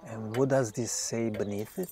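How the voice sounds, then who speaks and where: Actor as a suave, gravelly-voiced male writer. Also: fairly low pitch, fairly even intonation, moderate pace, dark tone, a little hiss or hum, lightly acted. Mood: tender, charmed. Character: suave, self-absorbed male writer, softly charming. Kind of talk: audio drama acting.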